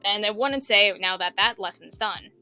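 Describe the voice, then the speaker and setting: A woman's voice: medium pitch, moderate pace, sharp tone, smooth, faint music behind. A woman giving a casual tutorial, explaining step by step in an eager, affirming way. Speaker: visual novel tutorial teacher.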